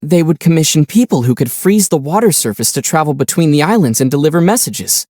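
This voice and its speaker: Young traveler hero for a video game, playful and wry, animated intonation, dry studio sound. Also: male voice, a little high, fairly quick, dry recording. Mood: calm, informative. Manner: teasing, wry. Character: young male traveler hero, calm, playful, gently teasing.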